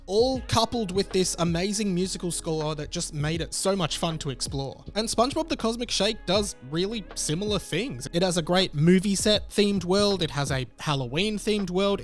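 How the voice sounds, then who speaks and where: A man in his thirties narrating a video essay as host, conversational, enthusiastic and emphatic. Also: high voice. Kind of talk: semi-scripted video essay narration to camera.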